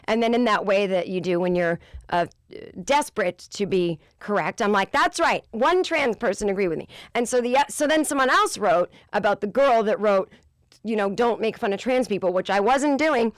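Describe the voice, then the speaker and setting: A woman in her forties hosting a podcast, with a low voice, ranting in an exasperated, profane way in a casual comedic monologue. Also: lively intonation, moderate pace, no echo. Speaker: podcast host.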